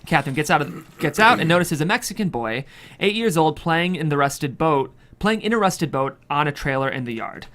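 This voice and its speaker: A man's voice: fairly high pitch, lively intonation, brisk, fairly bright tone. A man reading a screenplay's stage directions as scripted narration. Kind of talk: scripted narration at a table read.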